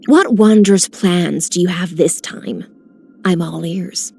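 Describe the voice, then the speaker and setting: A woman's voice: lowish voice, takes it slowly, gravelly, a little mellow, faint music behind. A woman voicing a composed, eloquent heroine in a video game, formal and poised. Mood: playful, admiring. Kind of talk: video game character voice lines.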